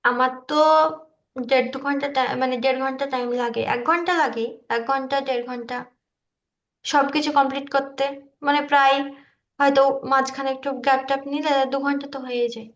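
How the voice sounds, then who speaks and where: A woman informally explaining her work in a halting, loose way on an online call. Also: high voice, steady tone, a bit bright, reverberant, highs cut off. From India. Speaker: video creator showing their work. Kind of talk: informal screen-share walkthrough.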